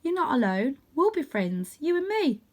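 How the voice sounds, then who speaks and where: A naive young tomcat hero from a children's storybook, acted out by a woman. The voice is high and very lively, earnest and questioning, with a kind heart. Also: moderate pace. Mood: kind, reassuring.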